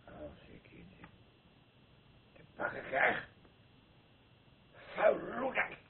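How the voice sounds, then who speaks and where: Slow, wildly swinging deranged ranter. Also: male voice, sits high, huge pitch swings, creaky, exaggerated voice. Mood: menacing threat. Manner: rambling, halting, repeating himself. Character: deranged, ranting man, by turns pitiful and menacing. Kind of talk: radio play acting. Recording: thin phone-like old radio recording.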